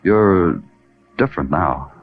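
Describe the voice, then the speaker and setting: A hard-boiled American police detective in a vintage radio crime drama. His voice sits low, and he talks in a deadpan, clipped, sardonic way. The old recording is muffled. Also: slow, faint music behind, heavily acted. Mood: dry, guarded.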